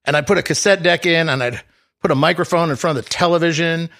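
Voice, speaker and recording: A man in his forties from the Boston area, a radio DJ, telling stories in a dry, self-deprecating way with halting restarts. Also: fairly high pitch, a bit bright. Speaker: radio DJ guest. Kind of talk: casual interview chat.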